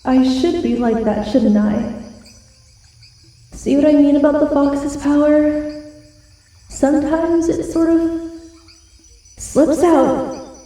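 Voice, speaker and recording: A woman playing a proud, feral but soft-hearted giant wolf girl in an ASMR roleplay, with breathy, dramatic pauses. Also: high-pitched, steady tone. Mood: sheepish, uneasy. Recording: very echoey, distant from the mic.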